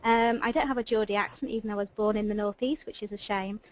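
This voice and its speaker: A woman with a Yorkshire accent co-presenting a webinar, halting and repeating her words as she introduces herself. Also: mid-range voice, fairly even intonation, low-quality recording. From Yorkshire, England.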